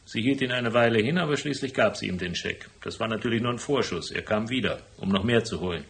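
A cool private detective from a radio crime drama. His voice sits fairly low with heavy creak. He is laconic and shrewd, laying things out dryly and deliberately. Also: a bit bright. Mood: calm, explaining. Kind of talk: German radio crime drama acting with first-person narration.

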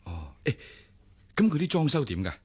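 An actor with a Hong Kong Cantonese accent playing a nosy, easily flustered brother-in-law in a radio drama, prying and hesitant. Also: male voice, medium pitch, wildly swooping pitch, moderate pace, slightly rough, no room sound.

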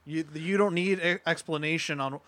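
This man has a somewhat high-pitched voice and a dry, close sound. He chats as a podcast panelist, stressing his points with earnest conviction. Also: normal speed, sharp tone, no room sound. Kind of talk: casual panel discussion.

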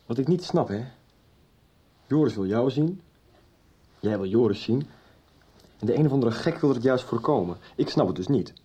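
A wry young man who is puzzled by what is going on, in a radio play. His voice is very raspy and dark, with soft music under it. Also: mid-range voice, animated, normal speed, pauses often. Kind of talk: 1990s radio drama acting.

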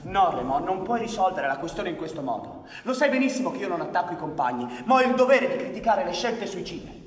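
A man's voice: high-pitched, sing-song, fairly quick. An actor playing an earnest, agitated anarchist newspaperman in a radio drama, with an emphatic, argumentative delivery. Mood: indignant. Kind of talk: radio theatre acting. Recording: echoey reverberant room, distant mic.

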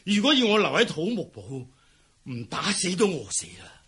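A man's voice: high-pitched, sing-song, normal speed, creaky, sharp tone, slightly rough, heavily acted. A man playing a cowardly, self-serving fleeing palace eunuch in a historical radio drama, stammering and sighing as he talks. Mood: anxious recounting.

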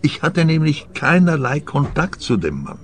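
An actor playing a vain, evasive older man in a radio play, genteel and measured. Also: on the high side, very animated. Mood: worried, explaining. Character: vain older male client, wanted by police, evasive.

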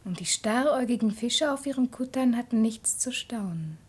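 A quietly solemn child in a 1960s radio drama. The voice is fairly high and breathy. The lines come hushed and deliberate, like poetry recited in a dream. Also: female voice, lively intonation. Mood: hushed, dreamy.

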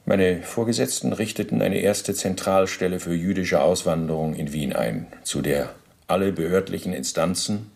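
A former SS officer giving testimony in a radio drama. The voice is low, and the wording is stiff officialese. He justifies himself in pedantic detail and stays formally deferential to his interrogator. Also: vocal fry, darkish tone. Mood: officious, explanatory. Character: male former SS officer under interrogation, self-justifying bureaucrat. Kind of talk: radio play, testimony monologue.

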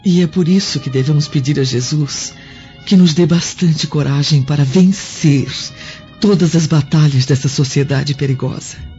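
An actress playing a gentle, devout middle-aged Roman mother in a radio drama, speaking tenderly and earnestly. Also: sits low, moderate pace, natural voice. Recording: muffled audio under music.

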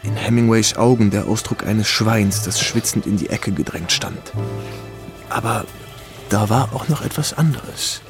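A war correspondent narrating his memories in a radio drama. His voice is on the low side, measured and reflective, with clear music behind it. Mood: tense, reflective. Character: younger American male war correspondent recounting his memories. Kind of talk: first-person radio play narration.

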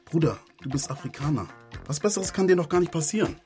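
A man's voice: fairly high pitch, animated, fast, darkish tone, soft background music, lightly acted. An actor playing a cautious, plain-spoken man who warns his friends bluntly in a radio drama. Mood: blunt, warning. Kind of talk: naturalistic radio drama acting.

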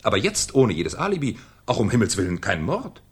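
An actor playing a shrewd, ironic French police commissioner in a 1970s German radio crime drama, with a theatrical, needling manner. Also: male voice, lowish voice, huge pitch swings, fairly quick, slightly rough, exaggerated voice. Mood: sardonic, needling.

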